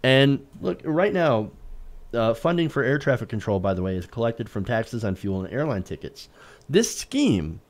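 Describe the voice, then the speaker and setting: Male, medium pitch. Political co-host delivering argumentative, emphatic news commentary with pointed rhetorical questions. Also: sing-song, moderate pace. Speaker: political talk show co-host.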